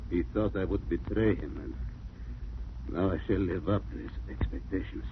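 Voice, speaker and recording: An actor playing a desperate, betrayed criminal accomplice in a 1940s radio drama. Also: male voice, low voice, fairly even intonation, normal speed, natural voice. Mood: grim, failing. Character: desperate betrayed male accomplice in espionage, vengeful. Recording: scratchy, narrow-band 1940s radio recording.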